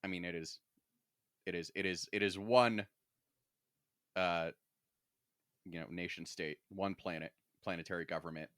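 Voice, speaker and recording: Male podcast co-host, lower register, wry casual discussion, very dry recording. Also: steady tone, moderate pace, pauses often, a bit bright, clear, resonant tone, no room sound. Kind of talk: casual pop-culture discussion.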